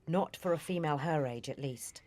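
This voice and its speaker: Senior intelligence officer, radio drama, female actor, British. Deep female register, composed, formal, businesslike authority. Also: fairly quick, a little mellow, a little hiss or hum, natural voice. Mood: brisk, probing. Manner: controlled, formal, firm.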